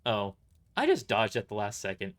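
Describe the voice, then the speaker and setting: A brash mercenary squad leader, acted by a man for an audio drama. The delivery is boastful and dismissive, loud and casual, with pitch that swings freely. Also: huge pitch swings, normal speed.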